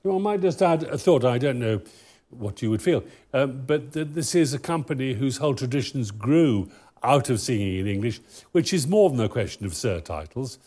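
A male moderator runs the audience questions with a husky voice and a lively, rising and falling pitch. His phrasing is formal and courteous. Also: normal speed. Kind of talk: hosting an audience Q&A.

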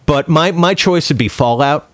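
A man's voice: on the high side, normal speed, fairly bright tone, no room sound. A man talking casually and bluntly, telling stories as a podcast panelist with some swearing. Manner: blunt, profane storytelling. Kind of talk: casual chat and anecdotes.

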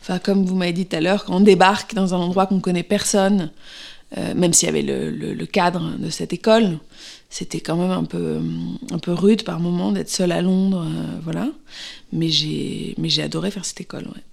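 A woman's voice: on the low side, fairly even intonation, moderate pace, gravelly, darkish tone. A woman in her thirties, an actress answering interview questions, pregnant, speaking hesitantly with many fillers and restarts.

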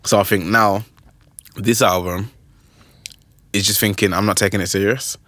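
A young man with a low voice talks music on a podcast. He rambles and restarts with lively energy. The sound is dry and close, with no room around it. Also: dry recording. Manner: rambling, animated, quick restarts. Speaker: podcast host. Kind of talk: casual music chat.

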